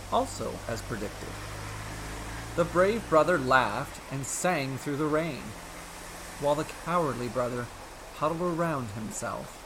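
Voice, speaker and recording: A man narrating a scripted myth as a storyteller, with measured, theatrical and deliberate phrasing. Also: a little high, noisy background.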